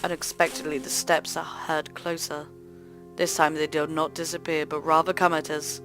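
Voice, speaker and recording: A woman hosting a let's play, reading visual novel narration aloud in an expressive, theatrical way. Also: fairly low pitch. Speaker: host of a gaming let's play channel.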